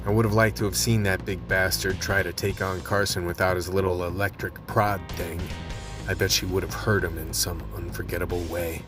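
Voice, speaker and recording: An actor playing a wry, world-weary cop narrating a radio play in a hard-boiled noir style. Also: male voice, low voice, flat delivery, normal speed, natural voice. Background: guitar music.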